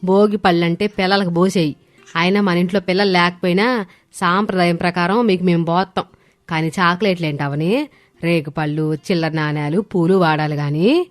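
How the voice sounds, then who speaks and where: A woman's voice: fairly low pitch, moderate pace, dry recording, close to an everyday voice. A woman voicing a traditional older mother-in-law, firm and caring, with an earnest, admonishing way of talking. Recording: studio voice over background music.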